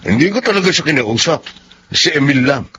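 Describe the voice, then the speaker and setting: A man's voice: on the high side, expressive, a little fast, pushed and bright. An actor playing a pushy, money-minded man in a Filipino radio drama, insistent and grumbling in colloquial talk. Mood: grumbling, resigned. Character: pushy, money-minded male friend eager for profit. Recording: dull lo-fi audio with noise.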